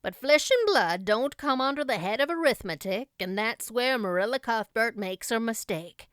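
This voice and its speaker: Woman doing an older countrywoman, know-all, folksy, emphatic, clear voice, storybook monologue. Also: on the high side, animated, normal speed, fairly bright tone, dry recording, studio quality. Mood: opinionated, musing. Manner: emphatic, plain-spoken, rustic turns of phrase.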